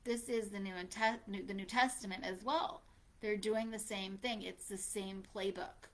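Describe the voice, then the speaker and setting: A woman's voice: mid-range voice, steady tone, moderate pace. A woman hosting a live audio room, speaking earnestly and quoting scripture in informal religious talk.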